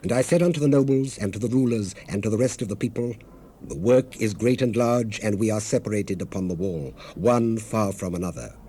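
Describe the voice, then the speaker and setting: A man's voice: lowish voice, fairly quick, dark tone, breathy edge. An actor playing an earnest, devout leader recounting his people's struggle in a solemn, oratorical scripture dramatization. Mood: rousing, commanding. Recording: hissy 1970s cassette rip.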